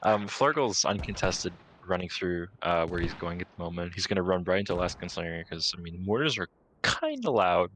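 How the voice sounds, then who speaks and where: A man's voice: low voice, lively intonation, fairly quick, a bit bright, a little hiss or hum, low-quality recording. A man co-commentating a competitive shooter match in a casual, joking, self-deprecating way. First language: English. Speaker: esports co-caster. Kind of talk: game commentary.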